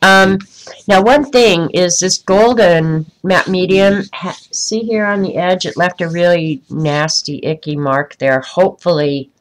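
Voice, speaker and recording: A woman's voice: fairly low pitch, unhurried. A woman with a stuffy, congested voice chatting casually to viewers on a live craft stream, rambling with many ums. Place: indoors at a craft desk.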